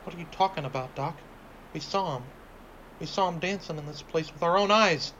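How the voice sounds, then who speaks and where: A man performs a cowardly, superstitious country fellow in an old-time radio chiller. His voice is high and sharp, with a folksy, pleading stammer. The homemade recording is muffled, with clear noise behind him. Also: brisk. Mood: frightened. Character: nervous, cowardly small-town man, superstitious sidekick. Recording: noisy, dull homemade radio drama recording.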